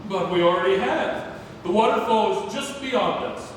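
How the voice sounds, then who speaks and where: A man's voice: sits high, normal speed, natural voice. A man at a play read-through acting a greedy, haughty rival explorer who hunts for treasure. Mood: smug. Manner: haughty, theatrical. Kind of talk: stage play table read. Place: theatre room, recorded from far off. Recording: distant echoey hall with faint noise.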